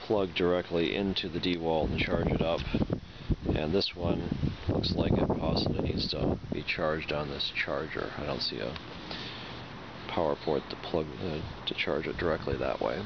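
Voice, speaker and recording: Very deep-voiced man, noisy lo-fi recording. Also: steady tone, normal speed, gravelly. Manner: hesitant, thinking aloud as he goes. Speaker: product reviewer. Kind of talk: casual unboxing and demonstration talk. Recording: dull lo-fi sound with loud noise.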